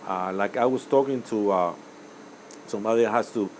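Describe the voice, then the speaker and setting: An older man from Cuba speaks English. His voice sits a little low, and he talks in a rambling, hesitant way, full of uhs and restarts. A faint hiss sits behind him. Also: moderate pace, poor audio. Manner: rambling, hesitant with many uhs and self-corrections. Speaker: musician guest. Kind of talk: interview answers.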